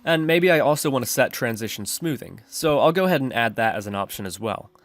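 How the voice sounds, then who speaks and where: A man's voice: medium pitch, lively intonation, quick pace, no echo. A man narrating a step-by-step screencast tutorial, explaining his reasoning as he goes. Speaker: programming tutorial host.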